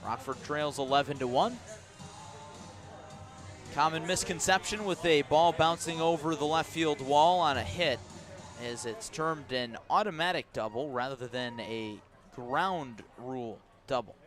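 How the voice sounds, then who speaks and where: A man's voice: on the high side, a little slow, soft background music. Man calling baseball on radio. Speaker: baseball play-by-play announcer for a team's radio broadcast. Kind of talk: live radio sports commentary. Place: outdoor ballpark at night.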